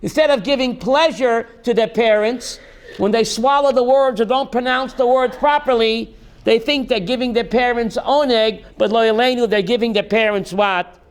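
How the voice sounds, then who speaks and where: A rabbi teaching a class, reading text slowly and then explaining it in while quizzing his students. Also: very high voice, clean tone. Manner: reads Hebrew text aloud slowly, then explains in English, quizzing listeners.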